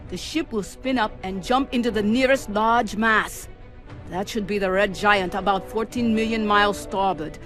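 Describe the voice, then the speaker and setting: Over prominent music, an actress plays a female starship AI. She is coolly precise and dryly witty, delivering technical detail in a measured, clinical manner at a middling pitch, in a sci-fi drama style. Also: a bit bright, lightly acted. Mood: resolute. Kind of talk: scripted sci-fi audio drama acting. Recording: music under the voice. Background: background music.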